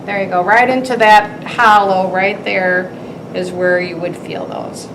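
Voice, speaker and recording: A woman's voice: medium pitch, steady tone, unhurried. A woman clinical instructor methodically explaining a physical exam as she demonstrates it, in an explanatory teaching style. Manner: methodical, explanatory, lists anatomical terms. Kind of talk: instructional demonstration, narrating while examining. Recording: roomy, distant mic, clear background noise.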